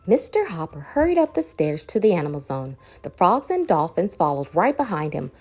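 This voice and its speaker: Animated woman reading a children's storybook. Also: lowish voice, normal speed, breathy edge, soft background music. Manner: animated, dramatic, varied emphasis. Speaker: children's book reader. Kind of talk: expressive storybook read-aloud.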